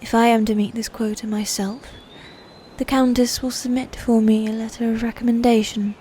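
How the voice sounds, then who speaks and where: A woman's voice: a little high, level tone, dark tone, a little hiss or hum, lightly acted. A woman playing a proud, playfully cruel female knight in a scripted medieval fantasy audio roleplay, with theatrical, formal old-world phrasing. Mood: scheming. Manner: theatrical, archaic formal phrasing, slow and deliberate.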